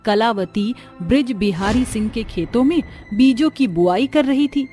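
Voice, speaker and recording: A woman's voice: a little high, measured pace, dark tone, faint music behind. A woman narrating a scripted moral story as a storyteller.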